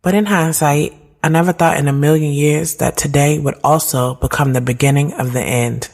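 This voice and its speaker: A woman's voice: low-pitched, fairly even intonation, moderate pace, a little mellow. A woman playing a reflective, conflicted thirty-year-old who narrates her own audio drama in a candid, slangy, conversational way. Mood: wistful. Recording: music behind the voice. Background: music.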